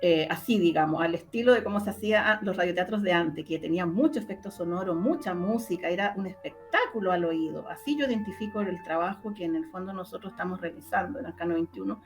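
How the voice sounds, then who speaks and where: A woman's voice: mid-range voice, brisk, soft background music. A woman with a Chilean accent, speaking casually as a guest in an online interview. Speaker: interview guest, sound artist.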